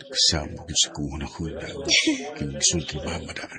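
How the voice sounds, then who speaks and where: A man's voice: a bass voice, level tone, a little fast, crowd noise. A man acting a young man secretly in love with his best friend, earnest and sentimental, in a Filipino radio drama with a Cebuano accent. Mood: lovelorn, hesitant.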